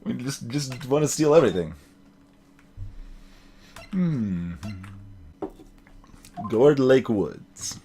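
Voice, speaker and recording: A woman's voice: extremely low pitch, expressive, drawn-out words, long pauses, mellow. A woman giving casual let's play commentary while reading a video game aloud. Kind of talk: casual playthrough commentary with voiced game dialogue.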